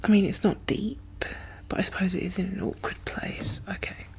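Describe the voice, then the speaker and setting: A woman doing a soft-spoken, whispered ASMR caregiver roleplay in a gentle, murmured, reassuring way. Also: mid-range voice, flat delivery, moderate pace, gravelly, slightly rough.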